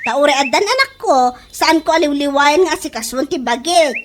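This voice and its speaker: A woman acting a piercingly high, sassy mother. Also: lively intonation, moderate pace. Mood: boastful, taunting. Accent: Ilocano. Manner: sassy, boastful, exclaiming. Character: Leia, vain sharp-tongued young mother. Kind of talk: Ilocano comedy radio drama acting. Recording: noisy home-made radio drama recording.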